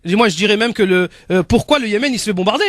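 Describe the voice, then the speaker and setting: A male radio guest who talks fast in a high voice and argues hard, talking over his host. The studio sound is dry and close. His speech is quick and insistent. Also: expressive, a bit bright, no room sound. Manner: fast, argumentative, interrupting. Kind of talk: heated radio debate.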